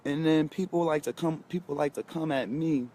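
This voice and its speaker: A man's voice: a little high, moderate pace, warm tone, a little hiss or hum. A man in his twenties giving a casual motivational talk, emphatic, repeating himself and speaking straight to his viewers. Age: 20s. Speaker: motivational vlogger.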